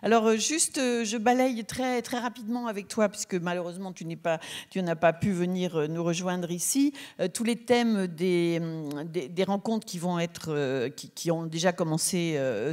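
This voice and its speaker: A woman's voice: on the low side, darkish tone. A woman hosting an informal literary interview, phrasing her questions tentatively as she thinks aloud.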